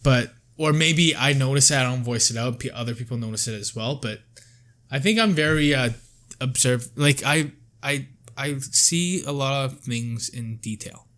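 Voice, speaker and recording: A man's voice: medium pitch, moderate pace, fairly bright tone. A young man talking casually and informally with a friend. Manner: informal, slangy. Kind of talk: casual chat between friends.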